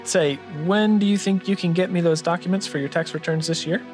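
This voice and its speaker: A man's voice: high-pitched, steady tone, brisk, a little mellow, close to an everyday voice. A man playing an eager, folksy accountant with a theatrical manner in an old-time radio drama. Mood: chipper. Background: music under the voice.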